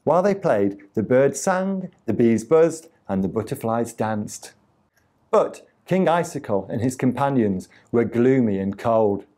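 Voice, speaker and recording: Slow, mellow male children's storyteller. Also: mid-range voice. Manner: deliberate, expressive reading with acted sound effects. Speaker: storyteller reading a picture book aloud to children. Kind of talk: storytime narration of a children's tale.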